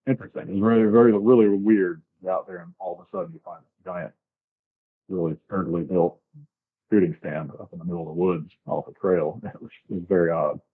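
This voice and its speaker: An American man from the southern US with a deep, rough-edged voice tells his experiences in a casual, descriptive way. He sounds like an interview guest on a narrow, phone-like connection. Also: a little fast. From southern United States, grew up in Florida. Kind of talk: casual first-hand storytelling. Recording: tinny, band-limited remote call.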